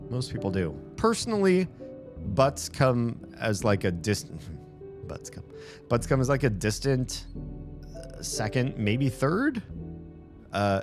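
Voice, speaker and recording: A man chatting casually and at length on a live stream from a room at home, rambling and correcting himself as he goes. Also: deep voice. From United States. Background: music.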